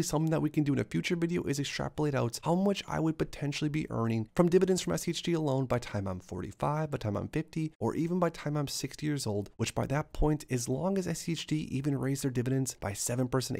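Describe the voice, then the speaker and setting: Male YouTuber, 30s, gravelly and quick, upbeat casual pitch to viewers. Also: a little mellow. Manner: upbeat, hyped-up talk with lots of filler phrases. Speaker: dividend investing YouTuber.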